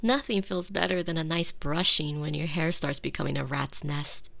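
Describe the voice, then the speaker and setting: A woman's voice: deep voice, fairly even intonation, normal speed, fairly bright tone. A woman playing a sweetly doting but obsessive, volatile girlfriend in an intimate, close-miked ASMR roleplay. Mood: tender, intimate. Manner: intimate, cooing, often dropping into breathy whispers. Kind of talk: scripted ASMR roleplay acting. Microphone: close binaural microphone.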